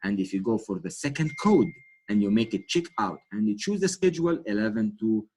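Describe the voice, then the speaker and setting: A man from Egypt with an Egyptian Arabic accent, a native Arabic speaker, giving a webinar walkthrough of software settings, with frequent self-corrections and fillers. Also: fairly low pitch, expressive, brisk, breathy edge. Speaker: product trainer.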